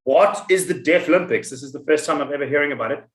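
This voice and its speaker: A man's voice: fairly high pitch, fast. Rambling male podcast host, roomy echo. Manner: rambling, restarts, storytelling. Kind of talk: casual chat.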